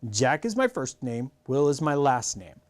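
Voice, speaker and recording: Bored schoolboy, voiced by a man in a children's story: direct, impatient, lively intonation. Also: medium pitch, a little slow. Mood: offhand.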